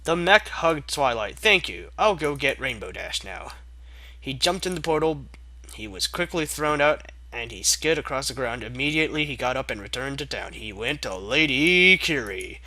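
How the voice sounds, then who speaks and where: A man's voice: medium pitch, lively intonation, moderate pace, pushed and bright, no echo. A young man reading a fan story aloud, breaking off for sarcastic, mock-exasperated asides. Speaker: fanfic reader. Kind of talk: comedic dramatic reading.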